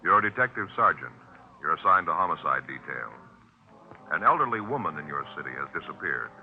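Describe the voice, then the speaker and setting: Very deep solemn radio announcer man. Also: big pitch swings, a little fast. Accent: American. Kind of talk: 1950s radio announcing, solemn scripted narration. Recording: tinny old radio, loud music behind. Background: loud music.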